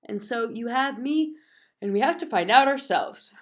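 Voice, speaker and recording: Woman voicing a young schoolgirl, storybook character, high-pitched, excitable, bossy, stubborn, emphatic, childish grammar. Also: moderate pace, close to an everyday voice. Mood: eager, pleading.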